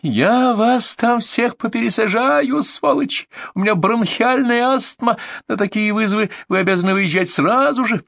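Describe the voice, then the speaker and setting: A raging woman caller screams insults and threats down a phone line, as a male reader puts her on in a strained high voice. Her tone is indignant and abusive. The gravelly texture of the reader's voice stays under it, in a dry close recording. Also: normal speed, no echo. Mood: shrieking. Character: furious shrieking asthmatic woman caller.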